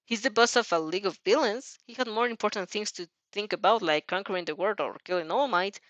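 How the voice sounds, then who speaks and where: A woman's voice: medium pitch, a little fast, fairly bright tone, no room sound, highs cut off, natural voice. A woman voicing a nervous, stubborn teenage girl student in a fan fiction reading, hesitant and defensive. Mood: exasperated.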